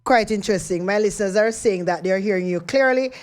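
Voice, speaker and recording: A woman's voice: mid-range voice, fast talker, darkish tone, smooth. A woman hosting a radio interview, chatty and often repeating words as she searches for them. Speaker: radio host.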